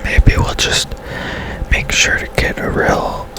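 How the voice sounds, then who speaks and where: A man giving casual, hushed ASMR commentary over a video game in a breathy whisper, musing in an unhurried way. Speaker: game streamer, host.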